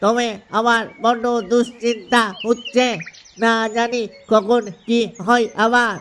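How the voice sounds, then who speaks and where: Man voicing a very high, cautious father duck. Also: flat delivery, slow, a bit bright, slight background noise, exaggerated voice. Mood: grumbling. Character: worried, grumbling father duck, cautious husband. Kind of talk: cartoon voice acting.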